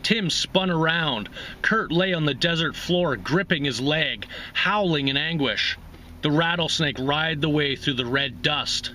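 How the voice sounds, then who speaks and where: A man reads a short story aloud as host of a reading series. His voice is bright, forceful and slightly high, with faint noise in the background. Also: a little slow.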